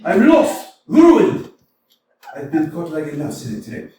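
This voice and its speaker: An actor playing a hot-tempered middle-aged landowner in a staged comedy reading, swinging from mutters to loud outbursts. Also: male voice, fairly high pitch, big pitch swings, measured pace, a little hiss or hum. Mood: frantic. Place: community theater stage. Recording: echoey hall, mic from far off.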